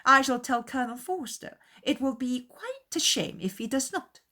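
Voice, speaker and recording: A woman voicing a bold, high-spirited fifteen-year-old girl in an audiobook, eager and insistent. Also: expressive, normal speed, sharp tone, exaggerated voice. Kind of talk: dramatized audiobook character voice.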